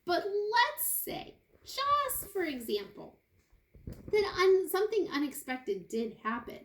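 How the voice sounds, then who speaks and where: A woman teacher reading a picture book aloud to young children, expressively, pausing for asides and questions to her listeners. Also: extremely high pitch, very animated, fairly bright tone, slight background noise. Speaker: kindergarten teacher. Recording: roomy, echoey indoor sound.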